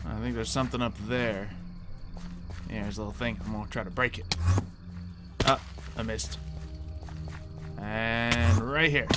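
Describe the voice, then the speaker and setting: A man talks through a video game in a casual, mild voice at an average pitch. He thinks out loud haltingly, with game music clearly playing behind him. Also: steady tone. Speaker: gamer playing a Minecraft roleplay series. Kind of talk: casual gameplay commentary.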